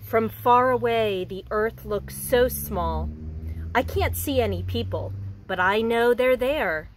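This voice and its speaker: A woman in her thirties, a preschool teacher, reading a picture book aloud to young children outdoors in a gentle, expressive voice. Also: a little high, takes it slowly, a little hiss or hum. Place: outdoors in front of a house.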